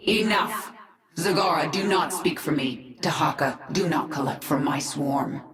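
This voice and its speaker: A woman's voice: deep voice, unhurried, fairly bright tone. An actress playing a cold, imperious alien queen in a video game, speaking tersely and with authority. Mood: stern. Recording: echoing voice over game music.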